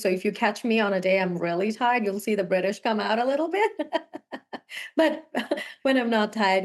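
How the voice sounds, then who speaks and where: Young sri lankan-born woman, guest on a video call, earnest and chatty, laughs a lot, mid-pitched. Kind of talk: casual interview conversation.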